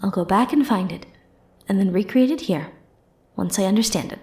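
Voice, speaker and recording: A woman playing a powerful, flirty and possessive sorceress in an ASMR roleplay, speaking softly and intimately with theatrical, teasing, condescending turns. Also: medium pitch, fairly quick. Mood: proud, coaxing. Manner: soft, intimate, teasing, theatrical delivery with breathy murmured asides.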